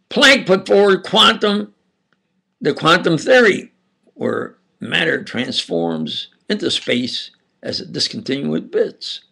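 An older man with an Upstate New York and New Jersey accent, giving a halting, insistent monologue lecture with sudden loud emphasis. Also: medium pitch, vocal fry, slightly rough. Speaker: self-styled preacher lecturing on camera.

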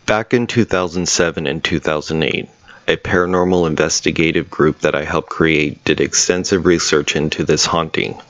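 A man giving a measured, serious scripted voice-over narration about a local ghost story. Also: a bass voice, steady tone, a bit bright, dry recording. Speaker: paranormal investigator narrator.

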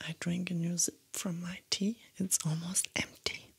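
A young woman with a German accent, a native German speaker, whispering slowly and gently as an ASMR creator in a small dark studio on a close binaural microphone. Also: lowish voice, level tone. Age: young adult. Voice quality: breathy whisper. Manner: gentle, slow whispering with soft spoken asides.

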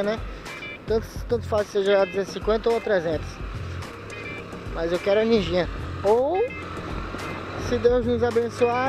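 A man talks in a relaxed, slangy way while he rides a motorcycle outdoors. The sound comes from a helmet-mounted camera mic. Music plays clearly underneath his voice. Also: sits high, low-quality recording. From Manaus, Brazil. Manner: chatty, laid-back slang, frequent laughs. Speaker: motovlogger. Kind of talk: casual motorcycle vlog commentary. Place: riding a motorcycle on city streets outdoors.

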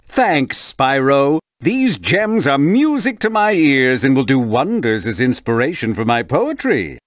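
A sly, greedy video game merchant. The mid-pitched voice swoops dramatically up and down and takes its time. He drawls with theatrical smugness. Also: sing-song, measured pace, no echo, clean studio sound. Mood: self-satisfied. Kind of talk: video game character dialogue.

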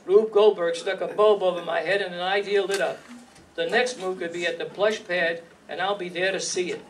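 An actor playing a slangy, sardonic hard-boiled hero who narrates a 1950s radio noir, recorded live in a hall. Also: male voice, high voice, fairly even intonation, normal speed, distant mic, a little hiss or hum, natural voice. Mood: narrating. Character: wisecracking, tough hard-boiled gumshoe and narrator. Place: stage in an indoor meeting hall, live reading.

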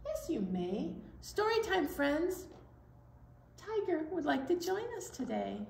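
A woman's voice: very high-pitched, slow, vocal fry. A middle-aged woman hosting an interactive children's storytime in a playful way, recorded indoors at some distance. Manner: playful, animated. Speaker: children's library storytime host. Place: indoor room with fabric backdrop. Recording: roomy, distant mic, background noise.

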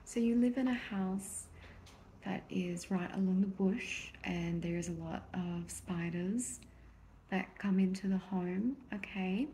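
A woman doing a soft-spoken ASMR therapist roleplay, gentle and reassuring, often slipping into a whisper. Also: mid-range voice, slow. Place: small indoor room at a desk. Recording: soft background noise, keyboard clicks. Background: computer keyboard typing.

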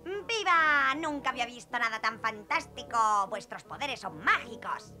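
A woman voicing a small, talkative, excitable space creature in a Spanish cartoon dub. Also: on the high side, moderate pace, faint music behind. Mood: amazed.

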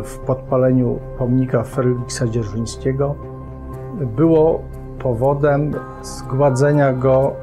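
A middle-aged man, a prosecutor, explaining an investigation in slow, measured official phrasing with hesitations, seated in an office. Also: mid-range voice. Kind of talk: formal explanation to camera. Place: office with bookshelves. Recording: music bed underneath.

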